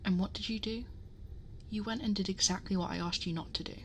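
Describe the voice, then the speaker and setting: Soft, breathy, possessive dragon girl played by a woman. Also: mid-range voice, fairly even intonation, slight background noise, close to an everyday voice. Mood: scolding, exasperated. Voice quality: soft, breathy, often whispered. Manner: intimate, gently scolding, fussing, deliberate. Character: possessive, worried, protective female dragon girl guarding her hoard. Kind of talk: ASMR roleplay. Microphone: close binaural microphone.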